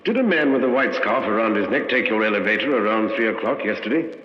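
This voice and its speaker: Actor as a low, animated police inspector. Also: male voice, close to an everyday voice. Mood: brisk, courteous. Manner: brisk, polite, questioning. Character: brisk, courteous Scotland Yard police inspector. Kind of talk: 1950s radio crime drama acting. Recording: dull vintage radio recording.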